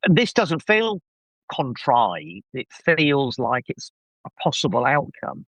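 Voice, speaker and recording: A man's voice: medium pitch, big pitch swings, slow, fairly bright tone, no echo. A middle-aged man chatting casually as a podcast guest, effusive and gushing, with a self-deprecating streak. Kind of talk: casual enthusiastic review chat.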